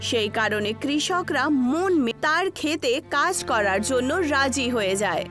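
A woman reading scripted storybook narration for a cartoon in an expressive storytelling manner. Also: sits high, normal speed. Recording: music behind the voice. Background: background music.

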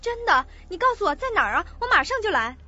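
A woman acting an eager, considerate young woman in a radio drama, speaking in an animated way. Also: extremely high pitch, quick pace, faint music behind, full character voice. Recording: dry, dull lo-fi recording.

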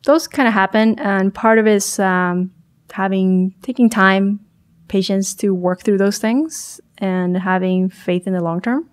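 A young woman from Chile, a startup founder speaking as a podcast guest in a small studio on a boom mic, talking thoughtfully with frequent restarts and self-corrections. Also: a little slow. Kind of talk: podcast interview.

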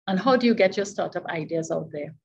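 A woman's voice: on the low side, animated, quick pace. A woman moderating a webinar panel from an office, politely passing questions along. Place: office with banners behind. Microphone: webcam video call. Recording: husky muffled video call audio.

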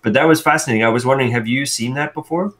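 A man's voice: mid-range voice, very fast, fairly bright tone. A middle-aged South African man co-hosting a casual tech chat over a video call, rambling and thinking aloud with frequent restarts. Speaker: co-host. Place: indoor room on a video call. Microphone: wired earbuds on a video call.

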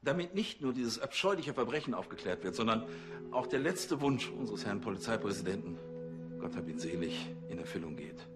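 A man's voice: on the low side, expressive, fairly quick, over loud music, lightly acted. A man playing a fussy, self-important provincial police chief who speechifies pompously and hesitantly in a TV drama. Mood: solemn, pompous.

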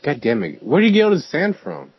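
A man's voice: fairly high pitch, wildly swooping pitch, fast, husky, lo-fi sound. A young man playing a block-building game with a friend, joking around in crude, casual banter. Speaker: gamer. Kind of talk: casual gaming chat.

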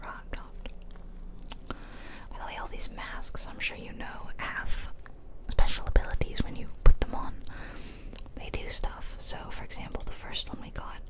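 A woman giving playful, chatty ASMR gameplay commentary in a whisper, close to the microphone. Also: measured pace. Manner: playful, chatty, self-amused. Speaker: game streamer and ASMR host. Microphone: close ear-to-ear microphone.

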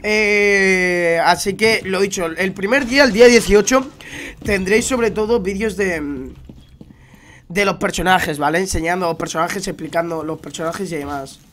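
A young Spanish-accented man with a fairly high voice, nasal and congested from a cold. He rambles casually to his viewers in slangy gamer chat, recorded at home on a headset mic. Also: normal speed, fairly bright tone. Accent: Spanish from Spain. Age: young adult. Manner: chatty, slangy, addresses viewers directly. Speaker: gaming YouTuber. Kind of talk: casual gameplay commentary. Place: home room at a gaming setup.

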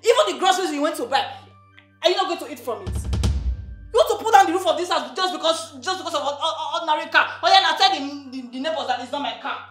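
An actress playing a pleading, fussing wife with a Nigerian accent, coaxing and entreating. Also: female voice, high-pitched, animated, very fast, fairly bright tone, faint music behind. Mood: indignant. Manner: coaxing, entreating, rhetorical questions. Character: pleading, fussing wife, anxiously placating her man. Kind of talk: emotional film drama acting. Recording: echoey room.

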